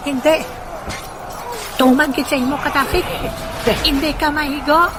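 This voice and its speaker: A woman's voice: sits high, fairly even intonation, normal speed, lightly acted. A woman playing a defiant warrior of a rival tribe in a comic audio drama. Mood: taunting. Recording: very loud music behind.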